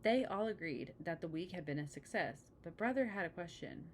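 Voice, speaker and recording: A woman reading a children's picture book aloud, pausing for chatty asides and questions to the listener. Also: on the low side, lively intonation, a little hiss or hum. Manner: engaging, asks the listener questions. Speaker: storybook reader.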